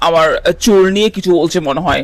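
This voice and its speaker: A high, fast cartoon voice acted by a man for a touchy groom in his late thirties. He is doting yet easily aggrieved and speaks in wordy, formal sentences. It sounds dry. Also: no echo, lightly acted. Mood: offended. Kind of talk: cartoon voice acting.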